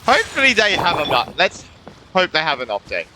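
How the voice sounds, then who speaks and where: Animated, bright-voiced man commentating on gameplay. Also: fairly high pitch, a little fast, a little hiss or hum, poor audio. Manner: informal, playful, reacting aloud. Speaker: gamer, video host. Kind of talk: casual game playthrough commentary.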